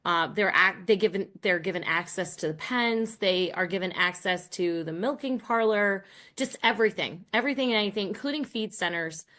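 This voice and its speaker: Middle-aged American woman explaining, mid-pitched. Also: a little fast, sharp tone. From Rhode Island and Massachusetts, United States. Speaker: guest, college professor and team coach. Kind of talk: conversational explanation.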